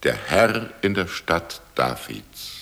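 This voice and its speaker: A male actor playing a solemn gospel reciter, declaiming slowly in a radio drama. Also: low voice, sing-song, vocal fry, sharp tone, full character voice. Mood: solemn, proclaiming.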